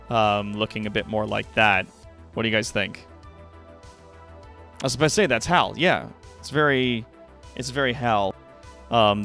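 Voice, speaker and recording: Man, bright forceful voice, medium pitch, casual livestream chat, loose halting phrasing, faint music behind. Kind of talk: casual chat with stream viewers.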